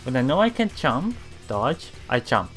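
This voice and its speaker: A streamer reading visual novel text aloud in a halting way, adding casual commentary over game music. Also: medium pitch, sing-song, a bit bright. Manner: halting reading, often repeating broken words. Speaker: let's player streamer.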